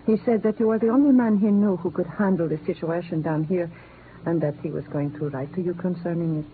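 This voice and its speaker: A woman's voice: on the low side, close to an everyday voice. Guarded woman played by an actress on tinny radio. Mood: earnest. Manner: measured, formal, dramatic. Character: guarded, weary Latin woman, earnest and secretive. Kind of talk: 1950s radio acting. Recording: narrow, noisy old radio recording.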